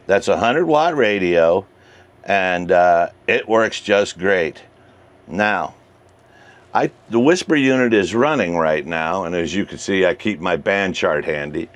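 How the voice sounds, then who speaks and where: Low-pitched man explaining his hobby casually. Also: clean tone. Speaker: amateur radio hobbyist presenting a how-to video. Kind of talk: casual explanatory vlog talk.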